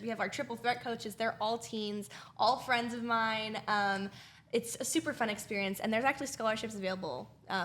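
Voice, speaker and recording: A woman's voice: on the high side, fairly bright tone, slight background noise. A young woman, enthusiastic and chatty, answering questions as an interview guest in casual talk. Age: young adult. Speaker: guest, youth theater director.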